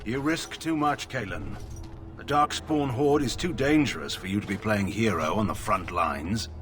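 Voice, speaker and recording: An actor playing a stern, scornful older military commander in a fantasy video game, formal and disapproving. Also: male voice, fairly low pitch, animated, moderate pace, a bit bright, a little hiss or hum. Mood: stern protest.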